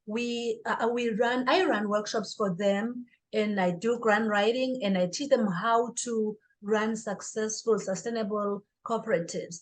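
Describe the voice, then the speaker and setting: A woman's voice: medium pitch, poor audio. A woman speaking as an interview guest, a life coach and author, in an earnest, motivational way. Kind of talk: interview answer.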